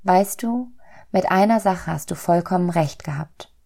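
A woman voicing a kindly, loving soul in a storybook, gentle, reassuring and earnest. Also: fairly low pitch, unhurried, gravelly.

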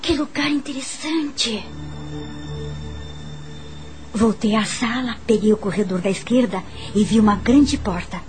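Actress as anxious young governess, high voice, Brazilian accent, dramatic radio drama delivery, music underneath. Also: female voice, measured pace, long pauses, darkish tone, natural voice. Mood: suspenseful wonder. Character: anxious, curious young governess exploring a mysterious mansion. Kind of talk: Brazilian radio drama, first-person narration and dialogue.

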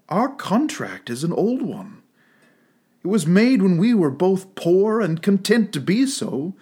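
Man doing a soft, grave young woman with a husky lilt; lift the pitch. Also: full character voice. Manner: soft, pleading, rising tone. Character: gentle sorrowful young woman, grave and steady.

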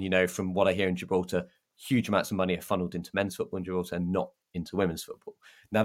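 A man with a very deep-pitched voice co-hosts a football podcast. He talks casually and conversationally, explaining his points in earnest. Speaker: podcast co-host.

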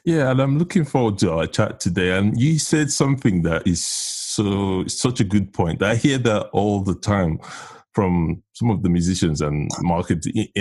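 A man's voice: low voice, a little mellow, breathy edge, no echo. A man hosting a podcast, talking casually and with enthusiasm to his guest. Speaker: podcast host.

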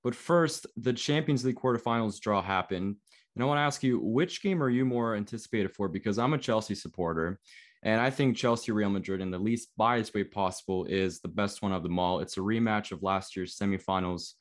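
A young man born in Canada hosts a football podcast with a fairly low voice. He asks his questions eagerly and keeps the chat friendly. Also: a little fast. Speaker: podcast host. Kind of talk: interview hosting.

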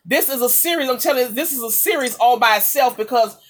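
A woman's voice: a little high, fast talker. A woman preacher giving an impassioned, exhorting charismatic sermon, repeating phrases for emphasis.

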